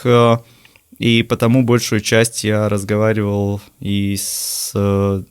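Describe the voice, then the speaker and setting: A man in his twenties from Riga, a native Latvian speaker, talks as a guest on an interview show. His voice sits low. He answers thoughtfully and pauses often to find his words. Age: 20s. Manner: thoughtful, hesitant, with frequent filler pauses. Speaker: guest, university lecturer.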